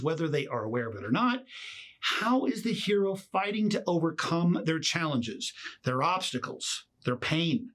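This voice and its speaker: A man with a somewhat high voice delivers an instructional, motivational talk straight to the listener. He speaks emphatically, stressing key words and setting up points with rhetorical questions, like a consultant coaching professionals. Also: a bit bright. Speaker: trial consultant and author presenting a lesson.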